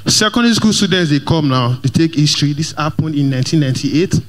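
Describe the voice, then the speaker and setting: A young man with a Nigerian Pidgin English accent doing lively stand-up comedy in a large church hall, speaking into a handheld mic and mixing Pidgin with English as he works the crowd. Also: fairly high pitch, fast talker. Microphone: handheld microphone.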